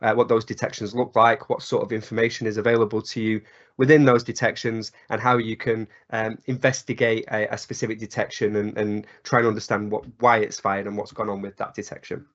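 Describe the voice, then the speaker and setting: A man with a croaky cold voice presenting a technical webinar, with frequent ums, uhs and restarts. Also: lowish voice, level tone, brisk. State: hoarse from a cold. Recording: slightly dull webinar audio.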